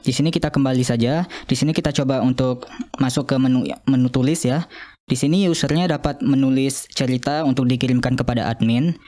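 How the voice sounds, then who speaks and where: Young man, mellow voice, demoing software. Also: mid-range voice. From Indonesia. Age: young adult. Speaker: student presenter of an app demo. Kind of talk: informal screen-demo walkthrough.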